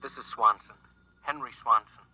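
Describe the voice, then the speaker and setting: Casting: paranoid man, hushed, secretive, dull vintage radio-play sound. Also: mid-range voice, steady tone, moderate pace. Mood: secretive, urgent. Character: furtive, paranoid, frightened neighbour guarding a secret. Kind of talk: mid-century American radio drama acting. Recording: muffled, noisy vintage radio recording.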